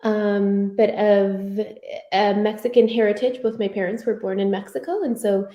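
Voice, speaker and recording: A woman's voice: medium pitch, steady tone, normal speed, dull audio. An American woman whose first language is Spanish, speaking informally as she leads an online panel discussion. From United States, Michigan. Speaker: panelist leading a community board discussion. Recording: roomy, echoing sound.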